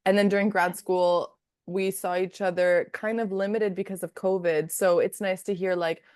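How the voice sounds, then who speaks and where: A woman's voice: on the low side, fairly even intonation, normal speed, a little mellow, smooth, no room sound, clean studio sound. A young woman with a Dominican accent hosting a podcast, chatty and rambling in a friendly way. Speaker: podcast host. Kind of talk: casual interview.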